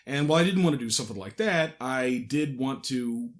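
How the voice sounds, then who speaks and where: Male podcast host, mid-pitched, casual and self-deprecating, with a hesitant, joking delivery. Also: expressive, fairly quick. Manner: jokey, self-deprecating, hesitant with false starts. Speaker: podcast host and audio drama creator. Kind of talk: casual behind-the-scenes podcast chat.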